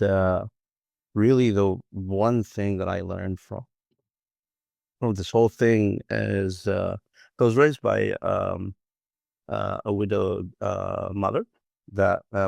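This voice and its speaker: A man's voice: lowish voice, gravelly, no echo. A middle-aged man from Iraq, a guest answering interview questions in a hesitant way, with many fillers and restarts. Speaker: guest, analytics professional.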